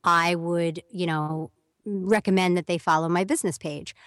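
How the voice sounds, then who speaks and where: A woman's voice: lowish voice, fairly even intonation, normal speed, a bit bright, no echo, studio quality. A young woman speaking as a guest on an interview podcast, explaining at length in a chatty way and acting out quoted messages. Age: young adult. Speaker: voiceover talent guest.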